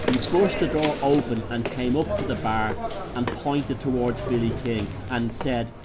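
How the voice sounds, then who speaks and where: A man's voice: mid-range voice, unhurried. Irish man narrating dramatically over music. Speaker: author and narrator. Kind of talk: dramatic horror storytelling, scripted narration.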